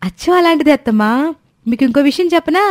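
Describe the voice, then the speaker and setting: A woman performs a scatterbrained young daughter-in-law in a cartoon moral tale. Her voice is raised and girlish in pitch, with an eager, innocent politeness. The sound is very dry, without any room echo. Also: lively intonation, fast talker. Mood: cheerfully proud. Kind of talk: Telugu animated moral story dialogue.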